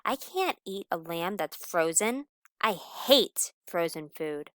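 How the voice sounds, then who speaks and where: Old male wolf for a picture book, female reader: grumbly, soft-hearted, theatrical, slow and clearly voiced. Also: on the low side, expressive, no room sound. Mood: hungry, fussy. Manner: dramatic, groaning and howling exclamations.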